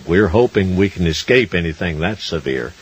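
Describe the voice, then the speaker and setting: A man's voice: sits low, animated, normal speed, husky, rough recording. An older man with a Southern US accent, announcing a country music radio show in a homespun, neighborly manner. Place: on stage in a large wooden barn theater. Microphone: stand microphone close to the mouth.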